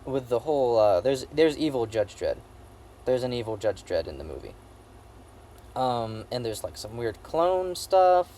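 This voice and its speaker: Guy rambling through a movie review, avg pitch, keeps restarting and repeating stuff, casual and a bit sweary. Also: sing-song, dark tone, a little hiss or hum. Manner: halting, repeats phrases emphatically, profane.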